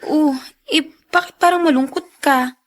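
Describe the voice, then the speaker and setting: A woman's voice: sits high, moderate pace, natural voice. A woman with a Filipino accent acting in a radio drama as an attentive, inquisitive younger sister. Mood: concerned.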